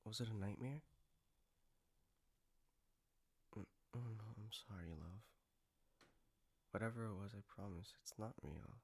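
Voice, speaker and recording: A man acting as a doting boyfriend in an intimate comfort roleplay. His voice sits low with plenty of gravelly fry. He speaks tenderly and a little haltingly, with gentle playfulness. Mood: soothing. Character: gentle, doting male partner soothing a lover after a nightmare.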